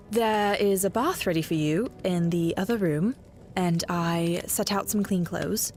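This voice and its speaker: A woman's voice: fairly low pitch, slow pace, darkish tone, faint music behind. A woman playing a wry, guarded bard in an audio drama. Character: wry female bard, guarded old friend. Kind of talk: fan audio drama acting.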